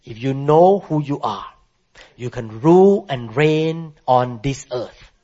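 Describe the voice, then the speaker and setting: A male pastor preaching a sermon to a congregation, emphatic and rising to high, impassioned declarations, calling for responses from the room. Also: animated, slow pace, warm tone. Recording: muffled lo-fi sermon recording.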